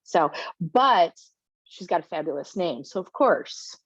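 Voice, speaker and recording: A woman's voice: lowish voice, expressive, unhurried, husky, no room sound, highs cut off. Chatty woman podcast host. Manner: chatty, rambling questions with fillers. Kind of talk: casual interview chat.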